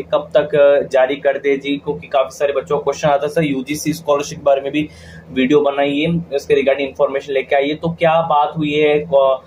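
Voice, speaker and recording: A man's voice: on the high side, a little mellow, a little hiss or hum, low-quality recording. A man with an Indian accent giving an informal explainer to viewers, chatty and colloquial, often checking they follow. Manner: colloquial, addresses viewers like friends, frequent check-in tags. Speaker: video host giving scholarship updates.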